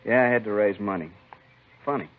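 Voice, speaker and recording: A man's voice: lowish voice, brisk, natural voice. An actor playing a weary, bitter American prop man confessing to robbery in a 1950s radio crime drama, resigned and self-justifying in short sentences. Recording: muffled old 1950s radio recording.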